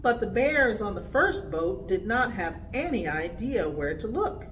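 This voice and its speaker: A woman reading a picture book aloud to preschoolers, with expressive, deliberate phrasing. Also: mid-range voice, echoey room, highs cut off, slight background noise. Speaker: storytime reader for preschoolers.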